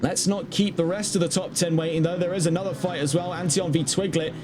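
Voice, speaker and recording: A man calling a live online sim race, brisk and chatty, breaking off now and then to talk to the chat. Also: sits high, steady tone, over a noisy crowd. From not Dutch. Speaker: race commentator. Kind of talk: live sim-racing play-by-play commentary.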